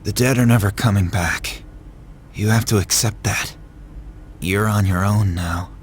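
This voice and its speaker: A man's voice: on the low side, a little slow, slight background noise, natural voice. A man playing a tough, brooding young fighter wracked with guilt in a video game, speaking in a blunt, earnest way. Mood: stern. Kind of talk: dramatic video game voice acting. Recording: loud music behind the voice. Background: music.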